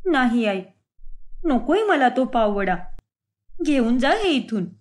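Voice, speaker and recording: A stubborn young daughter-in-law in a cartoon story, played by a woman. She complains in a high, swooping voice, dramatic and aggrieved. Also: very animated, slow, full character voice. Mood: sulking. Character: whiny, stubborn young daughter-in-law who loves food. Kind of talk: animated moral story dialogue.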